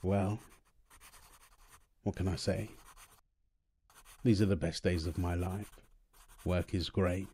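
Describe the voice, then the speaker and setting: A man's voice: deep voice, fairly even intonation, takes it slowly, long pauses, dark tone, a little hiss or hum. A man playing a doting young husband and new father, tender and playful, in an ASMR boyfriend roleplay. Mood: tender, reflective.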